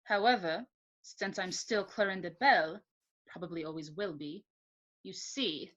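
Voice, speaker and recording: A woman's voice: fairly low pitch, unhurried, fairly bright tone, dull audio. A woman playing a composed, pointed secretary in an amateur murder mystery. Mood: cool, defiant. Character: cool, assertive female secretary in a murder mystery.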